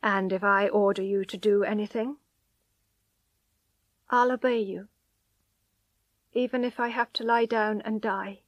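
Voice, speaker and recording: A woman voicing a distraught young wife who pleads with her husband in a high, loud voice. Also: steady tone, a little slow, pauses often, no room sound, highs cut off, natural voice. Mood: submissive.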